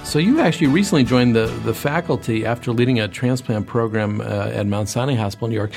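A man's voice: mid-range voice, fast, a little mellow, soft background music. A man hosting a radio interview, asking questions conversationally and often rephrasing them mid-sentence. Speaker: radio show host and interviewer.

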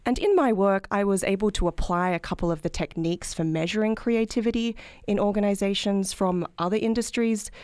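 A woman's voice: mid-range voice, brisk, few pauses, a little mellow, smooth, no echo, clean studio sound. A woman in her thirties with an Australian accent, explaining her research as an interview guest. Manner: articulate, explanatory, frequent "sort of". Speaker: guest, healthcare management researcher. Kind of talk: interview answers.

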